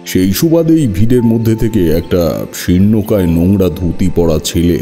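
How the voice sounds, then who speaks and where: A man narrating a scripted first-person horror story in a measured, literary storytelling manner. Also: deep voice, mellow. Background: music.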